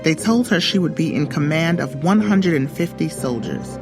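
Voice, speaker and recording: A woman's voice: low voice, animated, moderate pace. A woman reading a scripted story for children as its narrator. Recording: music under the voice. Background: background music.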